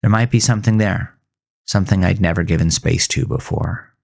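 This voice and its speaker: Very deep, gravelly haunted farmer played by a man. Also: fairly quick, darkish tone. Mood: wistful, calmer. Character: haunted, bitter farmer confessing a horror tale, man. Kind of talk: scripted first-person horror narration.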